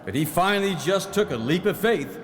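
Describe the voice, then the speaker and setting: A man's voice: high-pitched, expressive, a little fast. Man playing a folksy old-time legislator, echoey hall. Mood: wry, reminiscing. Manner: folksy, plain-spoken storytelling. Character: folksy, proud 1909 Arkansas farm-minded legislator reminiscing. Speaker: actor in historical re-enactment. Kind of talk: theatrical first-person historical monologue. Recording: echoey hall, clear background noise.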